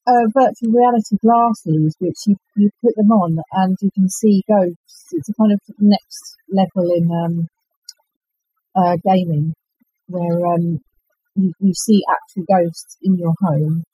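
A woman, an author chatting in an interview in a halting, self-effacing way. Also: mid-range voice, steady tone, mellow, slightly rough, no room sound, dull audio. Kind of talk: casual interview chat.

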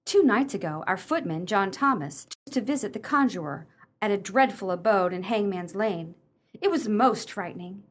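A woman plays an arch, sceptical gentlewoman in a radio drama. Her mid-range voice is formal and genteel, delivering lines with dry wit. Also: medium pitch, lively intonation, moderate pace, lightly acted. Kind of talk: radio play acting.

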